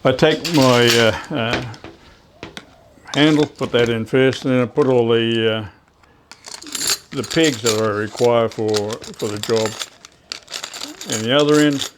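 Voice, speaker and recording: A man presenting practical DIY tips in a casual, unscripted demonstration, often pausing mid-phrase to search for words. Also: medium pitch, noisy background.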